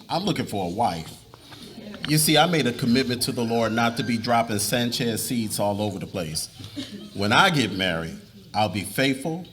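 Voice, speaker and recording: A man playing an earnest, devout young suitor in a live dramatic reading, speaking in a measured, persuasive way. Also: medium pitch, fairly bright tone, natural voice. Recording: noisy live room recording.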